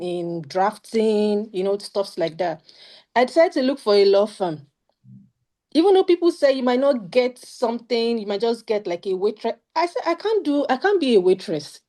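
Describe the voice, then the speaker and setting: A woman's voice: mid-range voice, dark tone. A young woman with a Nigerian accent sharing her study-abroad experience in an earnest, emphatic way on a video call. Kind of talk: casual panel talk.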